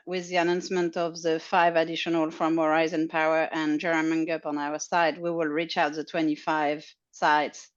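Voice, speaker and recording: Woman on a dull lo-fi call. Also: low-pitched. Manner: chatty, explanatory, frequent uh fillers, laughs lightly. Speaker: charging network representative. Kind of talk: casual online Q&A update. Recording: dull lo-fi audio.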